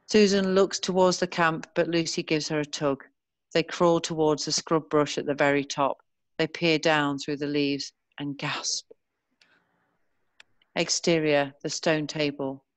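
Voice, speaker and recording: A woman narrating a screenplay, reading scene headings and stage directions in a measured way. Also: low voice, dry recording.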